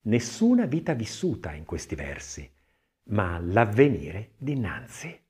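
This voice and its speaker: A man reading a scripted literary text as an audiobook narrator, expressive and measured, with deliberate phrasing. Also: lowish voice. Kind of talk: scripted audiobook narration of an art-history essay, with recited verse.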